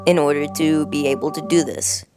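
A woman's voice: sits low, brisk, over music. A woman giving a casual how-to voice-over as a video creator.

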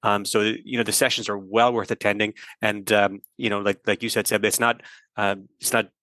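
A man hosts a podcast in a loose, chatty way, filling pauses with "you know". His voice is bright and forward, recorded dry and close. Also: fairly low pitch, little pitch movement, no room sound. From Ireland. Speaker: host. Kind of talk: casual podcast chat.

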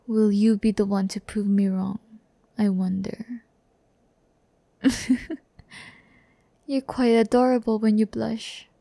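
A woman's voice: mid-range voice, gravelly, dark tone, dry recording. A woman playing a seductive, haughty yet tender demon in an ASMR roleplay, teasing and often near a whisper.

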